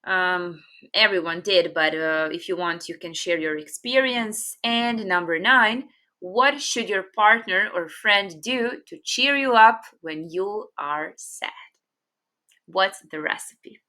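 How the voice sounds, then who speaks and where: A young woman, a native Serbian speaker, teaching English grammar in a patient, unhurried way with many fillers. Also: medium pitch, bright, forceful tone. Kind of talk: casual online grammar lesson.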